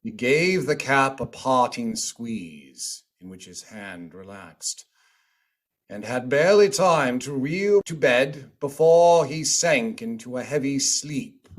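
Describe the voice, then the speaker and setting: A man narrating a radio play in a theatrical storybook style with deliberate phrasing. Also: mid-range voice, lively intonation. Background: music.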